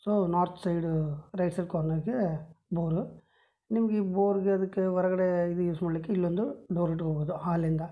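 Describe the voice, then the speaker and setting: A woman's voice: fairly low pitch, steady tone, fast, muffled recording. A woman explaining a house floor plan step by step, pointing with a pen at paper drawings on a table, recorded on a phone held over the page. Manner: plain step-by-step explanation, many measurements.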